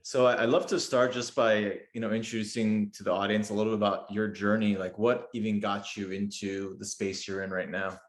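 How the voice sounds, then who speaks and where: A man's voice: fairly low pitch, flat delivery, a little fast, hardly any pauses, roomy sound. A man hosting a podcast, eager and friendly in a casual interview, with many fillers. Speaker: podcast host.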